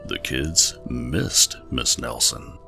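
A man narrating a children's storybook in a measured, dramatic storytelling manner. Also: rumbling low, gravelly, bright, forceful tone, soft background music. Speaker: audiobook narrator.

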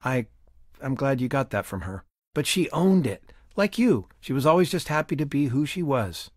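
Middle-aged father: gentle, regretful, conversational, mid-range voice, close dry game recording. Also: medium pitch, very animated, moderate pace, no room sound. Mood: tender, wry. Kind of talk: video game voice acting.

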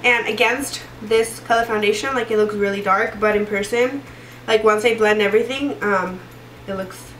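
A woman's voice: mid-range voice, steady tone, moderate pace, fairly bright tone, distant mic, slight background noise. A young woman in her twenties, stuffy from a cold, chatting through a makeup tutorial with lots of fillers and restarts. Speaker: beauty vlogger. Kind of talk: casual makeup tutorial chat. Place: small room indoors. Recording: roomy echo, voice a bit off-mic.

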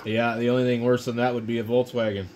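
A man's voice: mid-range voice, flat delivery, fast, darkish tone. American man chatting, faint background noise. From United States. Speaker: amateur radio operator. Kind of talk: casual ham radio chat. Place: indoor ham radio desk. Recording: faint radio hiss and room noise.

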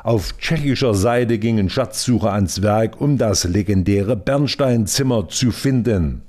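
A man's voice: lowish voice, a little slow, a little mellow. A man narrating a scripted regional TV news report as the reporter.

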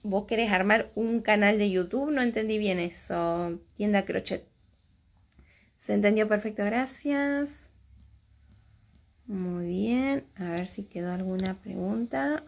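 A woman's voice: mid-range voice, creaky. Argentine woman chatting casually on a livestream. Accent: Argentine Spanish. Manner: chatty, reading out and answering comments. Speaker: crochet teacher hosting a livestream. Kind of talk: casual live chat with viewers. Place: small room at home. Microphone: phone at arm's length.

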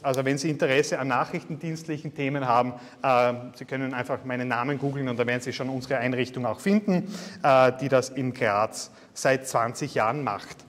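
A man's voice: mid-range voice, fairly quick. A man in his thirties with a Styrian Austrian accent, giving a university guest lecture in a chatty, self-deprecating way with many filler sounds. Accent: Styrian Austrian German. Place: large lecture hall. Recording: reverberant lecture hall.

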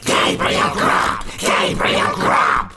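A man voicing wild unearthly goblins in a storybook reading, screaming out in long drawn-out calls. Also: reverberant, very noisy. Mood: wild, taunting. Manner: shouting, drawn-out calling.

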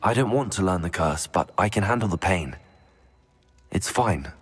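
A young student wizard, sympathetic and steady. The voice is deep and husky, with understated delivery of game dialogue. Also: male voice, normal speed, soft background music. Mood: stoic. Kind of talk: video game dialogue acting.

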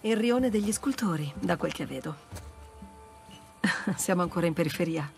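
A woman's voice: fairly low pitch, lively intonation, normal speed, creaky. A woman playing a wry, confident, teasing treasure hunter in an Italian video game dub. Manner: wry, self-assured, teasing. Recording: background music under dialogue.

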